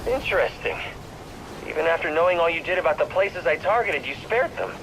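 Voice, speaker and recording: A man's voice: on the high side, lively intonation, fairly quick, background noise. A man playing a smug young anarchist bomber in a video game, taunting with theatrical politeness. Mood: smug, intrigued.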